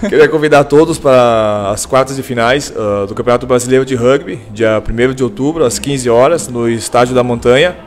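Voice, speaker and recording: A man, the team captain, inviting fans in a measured, slightly hesitant way at a table mic. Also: medium pitch.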